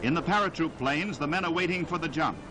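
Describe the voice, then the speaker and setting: High-pitched 1940s male newsreel narrator. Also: heavy background noise. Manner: declamatory, emphatic, measured phrasing. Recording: vintage 1940s newsreel soundtrack.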